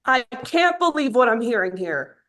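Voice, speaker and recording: A woman's voice: on the high side, big pitch swings, normal speed, fairly bright tone. A woman playing a cocky, blunt young macho actor in a sitcom script read. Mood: indignant.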